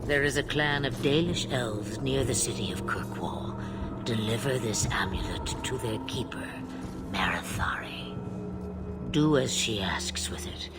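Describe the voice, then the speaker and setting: An actress playing an ancient, mysterious witch with an arch, sardonic, measured delivery in a fantasy game. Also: extremely low pitch, heavily acted. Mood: dry, commanding. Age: older. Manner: arch, theatrical, measured, wry phrasing. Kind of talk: dramatic fantasy game acting. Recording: loud music under the voice.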